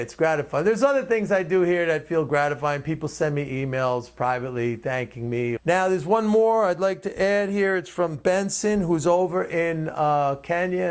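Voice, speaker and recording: A man's voice: a little high, creaky. A man hosting his own commentary, speaking off the cuff in an emphatic, opinionated way. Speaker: commentator and host.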